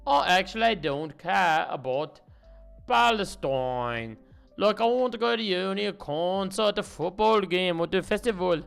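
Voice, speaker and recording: Brief: man doing a whiny, dismissive young British woman, high and sing-song, openly mocked. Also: animated, a little slow, vocal fry, a bit bright, clear, resonant tone, soft background music, heavily acted. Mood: mocking. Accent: put-on British.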